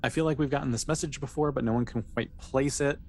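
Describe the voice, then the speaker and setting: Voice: medium pitch, fairly even intonation, quick pace, a little mellow. A game master narrating a tabletop role-playing session in a conversational, improvised way, feeling out the phrasing along the way.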